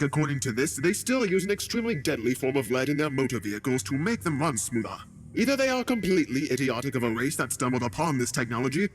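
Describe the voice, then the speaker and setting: A man playing an indignant, skeptical alien council official in a science fiction audio drama, accusing and sarcastic. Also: a little high.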